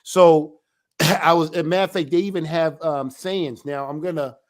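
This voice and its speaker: A man, an elder of a ministry, giving a religious teaching talk in an emphatic, animated way. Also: fairly high pitch, moderate pace. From United States.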